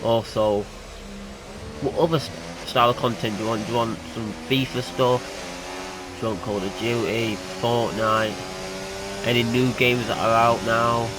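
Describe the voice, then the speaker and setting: A man's voice: medium pitch, normal speed. Young man, nasal, hay fever, chatty gameplay commentary, noisy recording. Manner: chatty, excitable. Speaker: gaming YouTuber.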